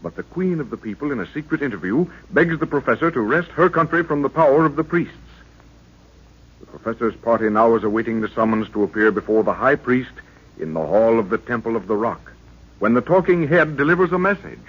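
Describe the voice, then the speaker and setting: A man delivering a dramatic old-time radio serial recap in a husky voice at medium pitch. His delivery is ominous and theatrical. It comes through a dull, lo-fi recording. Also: animated, fairly quick, a bit bright. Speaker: announcer.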